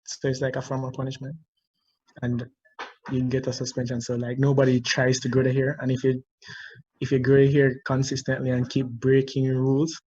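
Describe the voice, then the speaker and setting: A Jamaican man shares a personal story over a video call, lively and quick to laugh at himself. His voice sits in a middle range. The audio is a bit muffled and dull. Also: mid-range voice, slightly rough. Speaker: discussion participant.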